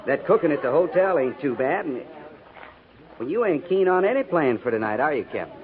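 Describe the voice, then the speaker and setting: An actor playing a folksy, grumbling bachelor cavalry soldier in a 1950s radio western, with colloquial frontier speech. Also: male voice, a little high, sing-song, fairly quick. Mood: resigned, hopeful. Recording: tinny 1950s radio recording, crowd behind.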